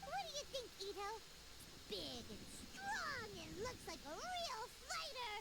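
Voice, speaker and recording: A woman voicing a tiny, cheeky, childlike flying companion in a video game, squeaky and blunt. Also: wildly swooping pitch, a little slow, noisy background, exaggerated voice. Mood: excited, proud. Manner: refers to self in third person, blunt.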